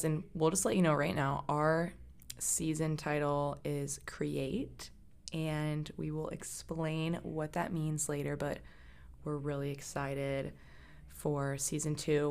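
A young adult woman co-hosting a podcast in casual chat, soft-spoken and quiet. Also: low voice, flat delivery, measured pace, vocal fry, clean tone. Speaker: podcast co-host.

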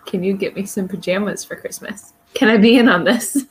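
A woman's voice: mid-range voice, normal speed, a little hiss or hum, poor audio. A woman co-hosting a casual podcast, teasing and laughing often. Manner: giggly, teasing. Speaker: podcast co-host. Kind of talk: casual chat.

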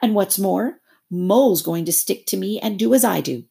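A woman's voice: medium pitch, expressive, normal speed, creaky, dark tone, breathy edge, voice pushed up, heavily acted. A woman voicing a stubborn, sensible male water rat who speaks in dry, dogged refusals in a storybook reading.

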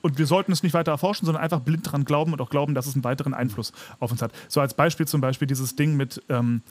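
Male podcast co-host, raspy and dryly recorded, arguing a skeptical point in loose, halting conversation. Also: a little high, steady tone, no echo. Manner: skeptical, argumentative, halting restarts. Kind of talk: casual conversational chat.

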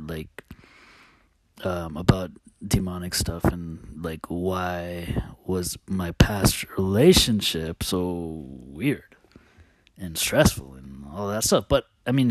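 A man talking casually as a host, telling personal stories in a halting, hesitant, confiding way. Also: deep voice, no room sound.

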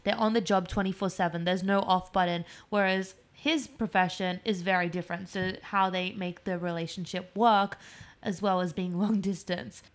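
Nasal, chatty young woman podcast host. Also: medium pitch. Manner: loud and chatty, laughs often. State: nasal from a cold. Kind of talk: casual chat.

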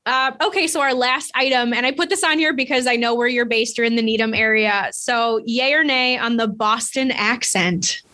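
Midwest woman podcast host, blunt and emphatic, bright high-ish voice. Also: normal speed, few pauses. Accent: Midwest American. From Chicago. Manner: blunt, emphatic, laughs easily. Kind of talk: casual podcast chat.

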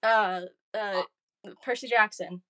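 A woman's voice: medium pitch, fairly even intonation, takes it slowly, sharp tone. A young woman co-hosting a casual chat, giggly and rambling with many fillers. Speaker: co-host.

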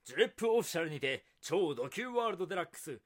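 Infomercial spoof: theatrical, helpful know-it-all buddy acted by a man, high pitched, very animated. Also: rapid-fire. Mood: promotional. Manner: theatrical, confident. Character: smug, helpful anime-fan buddy named Michael. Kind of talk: scripted comedic infomercial parody.

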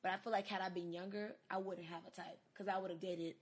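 A woman's voice: on the low side, flat delivery, fairly quick. A woman in her twenties giving casual, conversational advice in a candid, emphatic way, as a podcast host. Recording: noticeable background noise.

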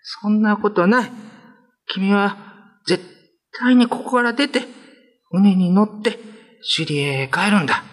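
A man's voice: high-pitched, measured pace, pauses often, a bit bright, pitched above the performer's own voice. A man playing a kind, modest young male prison guard in a radio drama, with casual, boyish, reassuring speech. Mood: earnest, urging. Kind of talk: Japanese radio drama acting.

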